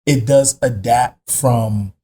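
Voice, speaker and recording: Husky-voiced male podcast co-host. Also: mid-range voice, slow, warm tone. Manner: frequent short affirmations. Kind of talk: podcast interview.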